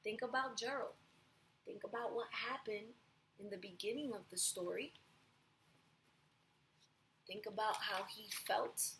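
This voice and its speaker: A woman teacher of young children reading a storybook aloud in an expressive, deliberate way. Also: medium pitch, a little hiss or hum, low-quality recording. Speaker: pre-kindergarten teacher.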